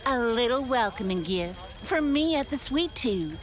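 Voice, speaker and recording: A woman's voice: a little high, animated, unhurried, background noise. A woman playing a sweet but scheming old lady baker in an audio drama, genteel, fussy and theatrical. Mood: sweetly folksy. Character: sweet old lady baker hiding villainy, easily affronted. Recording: music behind the voice.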